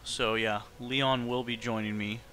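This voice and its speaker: Casual male game streamer, bright mid-pitched voice, chatty and unscripted, light noise behind. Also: little pitch movement, unhurried. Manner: informal, chatty, thinking aloud. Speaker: game livestreamer. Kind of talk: casual livestream chat over gameplay.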